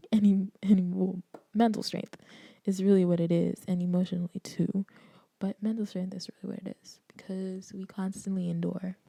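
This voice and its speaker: A young woman from the Bahamas, hosting her own podcast in candid, animated casual talk with frequent laughs and slangy asides. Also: fairly low pitch, gravelly, no room sound. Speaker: podcast host. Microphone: desk microphone.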